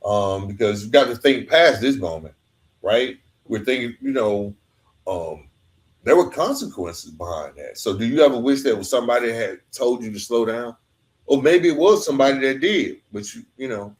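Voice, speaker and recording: A man hosting a conversational podcast interview, speaking informally and reflectively in halting phrases. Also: medium pitch, expressive.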